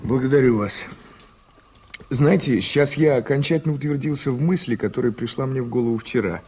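An actor playing an eager, curious newspaper journalist with a playfully dramatic streak in an early 1980s radio play. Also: male voice, mid-range voice, fairly quick, darkish tone, a little hiss or hum, lightly acted.

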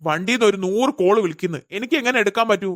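A woman's voice: mid-range voice, lively intonation, fast talker, dry recording, clean studio sound, natural voice. A woman voicing an irritable young man, curt with his fussing mother. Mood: irritated. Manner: curt, annoyed.

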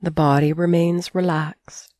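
Low, mellow woman guiding sleep meditation. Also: no echo, clean studio sound. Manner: calm, soothing, measured with long pauses. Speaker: meditation teacher. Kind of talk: guided sleep meditation narration.

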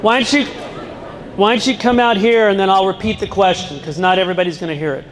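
A middle-aged man with a fairly high voice speaks earnestly into a handheld microphone, now and then breaking off to start a line again. His words ring out through a big gym with a long, lingering reverb. Rows of seated students fill the room as he talks to them. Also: fast talker. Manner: earnest, breaks off and restarts, jokes with the audience. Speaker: guest speaker. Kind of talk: public talk to students. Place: large school gym. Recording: long reverb in a large hall. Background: seated student audience.

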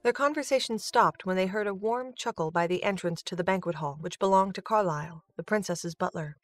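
A woman's voice: on the low side, fairly quick, no room sound, faint music behind. A woman giving scripted narration for a storybook-style audio drama.

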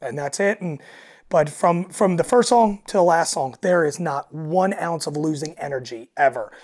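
A man with a raspy voice, talking casually as a podcast guest and telling stories with acted-out asides. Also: on the high side, normal speed, darkish tone. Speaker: guest, alternative country singer and frontman.

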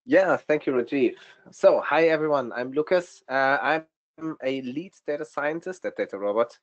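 A man with a German accent, speaking as a guest data scientist in a webinar, often pausing with ums. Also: a little high, dull audio. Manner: hesitant, many ums. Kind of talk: webinar presentation.

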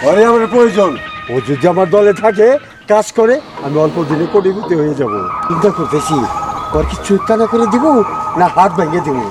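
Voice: medium pitch, lively intonation, brisk, background noise, natural voice. An actor playing a greedy, scheming gang boss who bullies underlings and grovels when cornered, in an amateur short film. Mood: gloating, scheming. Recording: loud music over the dialogue.

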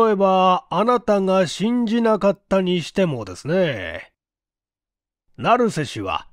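Man acting a shrewd, deliberate police chief, high-pitched. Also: no room sound, studio quality, exaggerated voice. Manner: deliberate, emphatic, leading questioning. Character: shrewd, self-assured male police chief, politely probing. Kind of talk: acted dialogue in story reading.

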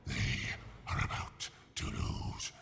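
A man voicing a menacing, imperious robot warlord in a video game, with a gravelly voice. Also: slow pace, a bit bright, slight background noise. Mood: gloating. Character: menacing evil robot warlord, scheming and aggressive.

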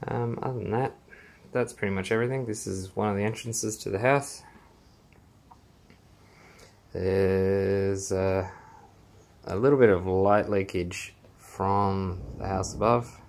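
A man speaks in a low, creaky voice at a slow, unhurried pace. He talks casually with frequent fillers and pauses as he walks a phone camera around his small basement room. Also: fairly even intonation, darkish tone. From Australia. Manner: unhurried, halting with many fillers. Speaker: home theater owner giving a room tour. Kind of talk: casual walkthrough narration. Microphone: handheld phone camera, filming while walking.

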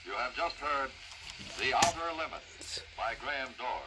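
A man's voice: medium pitch, expressive, a little slow. Old timey radio announcer guy from the 50s, super noisy recording.

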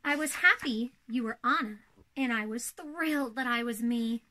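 An elegant older-sister princess, acted out by a young woman reads a children's storybook. The voice is high and bright. The delivery is poised and theatrical, as befits proper royalty. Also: normal speed, slight background noise. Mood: earnest, prim. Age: young adult. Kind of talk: storybook read-aloud for children.

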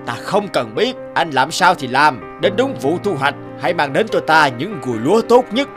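A man voicing a fat, wealthy, scheming cartoon landlord in a pompous, taunting, drawn-out way. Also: a little high, sing-song, music in the background. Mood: bossy. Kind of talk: cartoon dialogue acting.

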